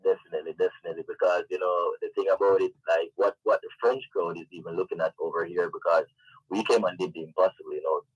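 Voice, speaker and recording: A man speaks as a guest in a casual radio interview. His voice sits fairly low and has a rough, husky texture. He talks conversationally, padding his phrases with "you know". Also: level tone, fast talker, highs cut off. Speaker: interview guest, event organiser.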